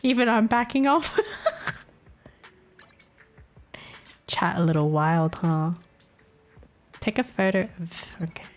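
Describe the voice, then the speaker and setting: A woman's voice: medium pitch, expressive, slow pace, pauses often, faint music behind. Giggly Filipino-accented young woman streamer. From Philippines. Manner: laughs often, teasing and playful with chat. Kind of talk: casual live-stream chat.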